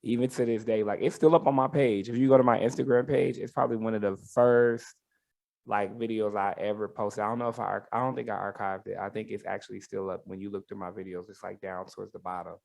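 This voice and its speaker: A man's voice: lowish voice, little pitch movement, fast, vocal fry, darkish tone. A man from Tennessee with a Southern US accent and a lisp, talking candidly as a guest in a podcast interview. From Tennessee, United States. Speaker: guest, R&B soul singer. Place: studio.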